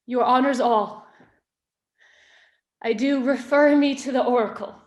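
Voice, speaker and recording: Woman as queen on trial, Shakespearean verse, impassioned, defiant, grieving, very slow pacing, roomy echo. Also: high-pitched, a little mellow. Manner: declamatory, deliberate, impassioned. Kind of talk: Shakespearean stage monologue in heightened verse. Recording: roomy, echoing space.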